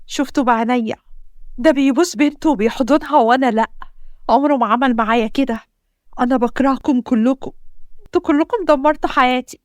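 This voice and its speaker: A wounded young wife with an Egyptian accent, as a woman storyteller puts her on, pressing one accusing question after another. Her voice sits high and quick. The sound is close and dry. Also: no room sound. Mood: bitter, pleading. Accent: Egyptian Arabic. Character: hurt, bitter young wife.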